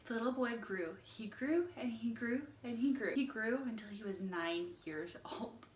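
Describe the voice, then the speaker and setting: A woman with a highish voice reads a picture book aloud as an expressive storyteller. She sounds a little way from the mic in a reverberant room with faint noise. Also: far from the mic.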